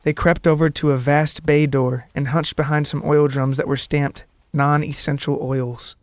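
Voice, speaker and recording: A man's voice: on the high side, little pitch movement, moderate pace, a little mellow, no echo. A man narrating a scripted podcast story in a dramatic, tongue-in-cheek way. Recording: music behind the voice. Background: background music.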